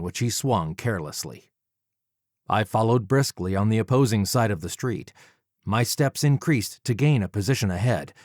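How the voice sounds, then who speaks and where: A man narrating a first-person story as an audiobook reader, dramatic, measured and deliberate, close to the microphone. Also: on the low side, dry recording.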